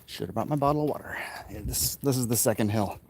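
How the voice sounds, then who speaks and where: Middle-aged american dude chatting offhand while walking, kinda out of breath, normal pitch, noisy recording outside. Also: male voice, quick pace, vocal fry, a little mellow. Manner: offhand, self-deprecating, talking while exerting himself. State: winded from walking uphill. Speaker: vlogger, artist. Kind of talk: casual rambling walk-and-talk to viewers. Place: outdoors, walking hilly residential streets.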